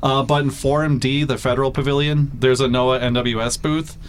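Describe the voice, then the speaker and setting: A man working as a weather forecaster, chatting casually in a radio interview, full of fillers and quick laughs. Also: mid-range voice, flat delivery, slight background noise.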